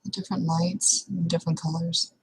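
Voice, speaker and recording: A woman improvising in-character dialogue for a roleplaying session, speaking in a hesitant, halting way. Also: on the low side, level tone, vocal fry, breathy edge, rough recording.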